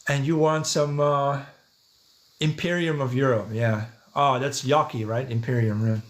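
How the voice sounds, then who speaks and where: A man's voice: mid-range voice, fairly even intonation, measured pace. Man talking casually on a livestream. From Netherlands. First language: Dutch. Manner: conversational, thinking aloud, checking with "right?" and "yeah". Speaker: podcast host, livestreamer. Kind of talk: casual livestream monologue, answering chat comments.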